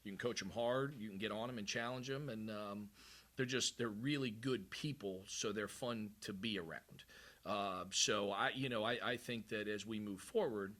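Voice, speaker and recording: A man's voice: on the low side, normal speed, a bit bright. A middle-aged man, a head coach, giving candid, rambling postgame press conference answers with frequent restarts.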